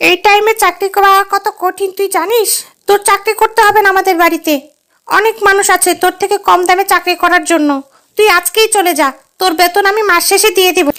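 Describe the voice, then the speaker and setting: Woman as a shrill, bossy cartoon lady employer. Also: steady tone, a little fast, fairly bright tone. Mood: scolding. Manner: scolding, haughty. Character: stingy, bossy female animal employer. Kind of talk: children's cartoon voice acting. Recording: music under the voice.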